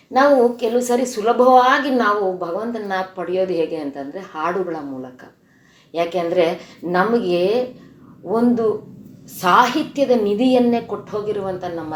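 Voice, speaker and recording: A woman speaks as a devotional teacher in an informal discourse. Her voice sits at a middle pitch, and her manner is earnest and explanatory. The recording has a clearly echoey room sound. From Karnataka, India. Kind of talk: informal spiritual discourse explaining a Sanskrit verse.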